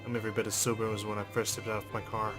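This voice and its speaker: A man reading visual novel text aloud as a let's player, in a measured, understated storytelling manner with brief asides. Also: fairly low pitch, little pitch movement. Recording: music behind the voice. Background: game music.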